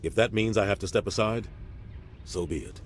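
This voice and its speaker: Give the captain a low, grave voice, played by a man, middle-aged and dutiful, with weary honesty. He talks in an earnest, measured way, as in video game dialogue. Faint noise sits behind him. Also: natural voice. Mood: regretful, resigned.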